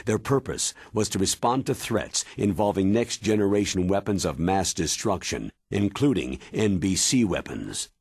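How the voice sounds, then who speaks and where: Deep, husky military commander. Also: male voice, expressive, moderate pace, hardly any pauses, darkish tone, no room sound, clean studio sound, exaggerated voice. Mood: serious briefing. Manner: grave, authoritative, explanatory. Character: stern male military commander giving a briefing. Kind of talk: dramatic video game cutscene acting.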